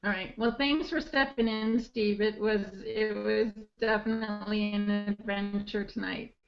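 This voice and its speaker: A woman's voice: mid-range voice, fairly even intonation, a little slow, gravelly, faint music behind. A woman co-hosting a podcast, chatting casually, laughing often and restarting her sentences. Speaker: podcast co-host. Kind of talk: casual chat. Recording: dull lo-fi online call audio.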